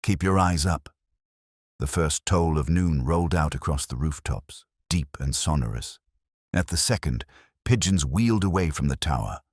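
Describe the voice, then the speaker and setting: A man's voice: very deep voice, animated, normal speed, a little mellow, breathy edge, no room sound, studio quality. A man reading a scripted mystery story as its narrator.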